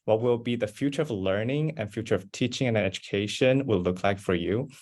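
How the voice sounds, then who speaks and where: A man's voice: on the low side, a little fast, a little mellow. A young man moderating an online panel, polite and eager, with frequent "um"s. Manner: polite, eager, many fillers. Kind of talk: hosting a virtual panel.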